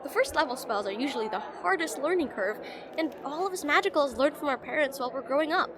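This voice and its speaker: A woman's voice: sits high, expressive, quick pace. A woman playing a shy, rambling young witch student in a slice-of-life fantasy audio roleplay, chatty, hesitant and self-deprecating. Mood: awkward, apologetic. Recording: voice over busy classroom crowd ambience.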